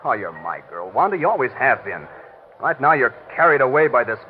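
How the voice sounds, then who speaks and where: Fast-talking jealous tough guy played by an actor. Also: mid-range voice, sing-song, a little hiss or hum, lightly acted. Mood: jealous anger. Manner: sneering, slangy wise guy. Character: jealous hot-headed tough-talking man in love. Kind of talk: 1940s radio drama acting. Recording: muffled old radio recording, faint music.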